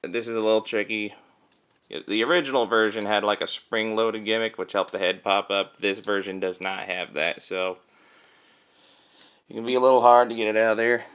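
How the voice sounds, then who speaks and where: A man's voice: fairly low pitch, fairly even intonation, normal speed, fairly bright tone, clean tone. A man talking informally through a hands-on toy review, explaining things step by step. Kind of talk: casual hands-on product review.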